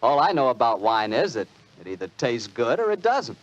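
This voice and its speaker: Animated American radio announcer, 1940s. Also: male voice, mid-range voice, normal speed. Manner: polished, emphatic. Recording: muffled 1940s radio recording, music behind. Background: music.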